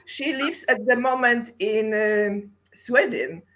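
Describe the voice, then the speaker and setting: A middle-aged woman from Poland with a Polish accent, speaking English in an earnest, halting way as she searches for words in an interview. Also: fairly high pitch, a bit bright. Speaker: guest poet and translator. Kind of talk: casual interview.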